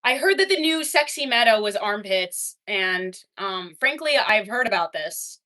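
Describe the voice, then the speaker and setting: A young adult woman streaming, casually chatting with her viewers and reading their messages, laughing often and swinging between excited and quiet. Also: medium pitch, a little slow. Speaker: livestreamer reading chat. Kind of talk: casual livestream chat.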